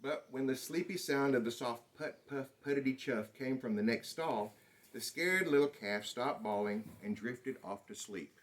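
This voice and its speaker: Male storyteller, mid-range voice, children's book read-aloud, expressive and dramatic delivery. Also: medium pitch, moderate pace. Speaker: storybook reader.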